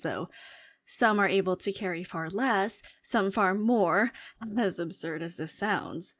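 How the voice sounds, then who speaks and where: Woman acting as an alien lady scientist giving a briefing, formal n earnest, really emphatic, mid-pitched voice, audio roleplay. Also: natural voice. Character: astonished, earnest female alien head scientist briefing her commander. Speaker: voice performer. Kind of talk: scripted fictional ASMR roleplay monologue.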